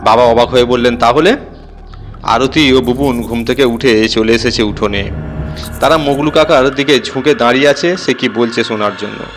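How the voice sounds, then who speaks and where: A man's voice: medium pitch, moderate pace, a bit bright, soft background music. A man reading a scripted audio horror story as its narrator, telling it in the first person.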